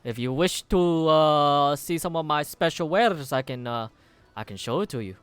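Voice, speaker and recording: A man voicing a chubby, bald male dwarf blacksmith who shows off his wares, in a loud, higher, halting put-on voice. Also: animated, clear, resonant tone, dry recording, natural voice. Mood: salesy.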